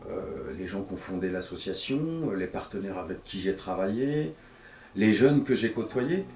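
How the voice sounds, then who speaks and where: A man of fifty speaks in a low voice, giving a testimonial about his work. The sound is roomy and a little far from the microphone. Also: moderate pace, darkish tone. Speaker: director of a community association. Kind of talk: interview testimonial. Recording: roomy echo, distant mic.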